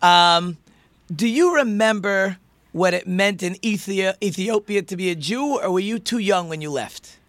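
A man's voice: high-pitched, unhurried, fairly bright tone, dry recording. A man, a rabbi, hosting an interview show in a friendly, chatty way.

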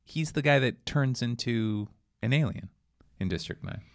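A man's voice: medium pitch, animated, normal speed. A young man co-hosting a podcast, chatting casually in a rambling, jokey way with frequent restarts. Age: young adult. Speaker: podcast co-host. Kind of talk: casual chat.

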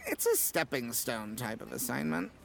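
A man's voice: mid-range voice, big pitch swings, normal speed, gravelly, a little hiss or hum. An actor playing a hapless, good-natured and apologetic ad man in a comic audio drama. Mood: flustered, good-natured. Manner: apologetic, eager to please. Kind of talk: audio drama comedy acting.

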